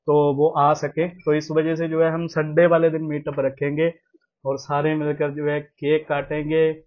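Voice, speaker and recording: A man's voice: on the high side, completely flat delivery, unhurried, narrow, tinny audio. A young man hosting a casual home vlog, chatting to viewers and repeating himself often. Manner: chatty, repeats himself, sprinkles English words. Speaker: vlogger host. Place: small room at home, indoors.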